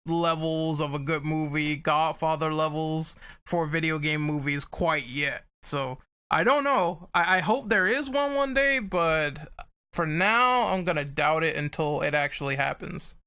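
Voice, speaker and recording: Guy hosting a podcast chat, kinda high crisp voice, informal and opinionated. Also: measured pace, sharp tone. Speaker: podcast host. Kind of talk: casual panel discussion.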